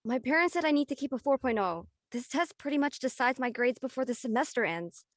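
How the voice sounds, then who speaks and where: A woman acting a stressed, studious high school girl in a dramatic read, serious and testy. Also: animated, brisk, no room sound, studio quality. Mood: exasperated. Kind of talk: online dramatic table read.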